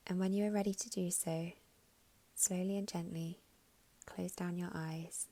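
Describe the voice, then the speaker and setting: A woman guiding a meditation in a soft, soothing, unhurried way with long pauses, her voice breathy and slipping toward a whisper at phrase ends. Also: on the low side, steady tone. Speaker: meditation guide. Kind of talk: guided meditation narration.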